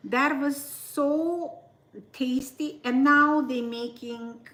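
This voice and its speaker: A woman co-hosting a casual live chat, speaking halting, broken English with many fillers. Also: sits high, fairly even intonation, a bit bright. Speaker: co-host.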